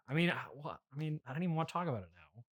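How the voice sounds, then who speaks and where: A man's voice: fairly high pitch, expressive, quick pace, fairly bright tone. A man hosting a casual improvised comedy interview, stammering and hesitant, with a politely incredulous manner. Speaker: podcast host interviewing a guest.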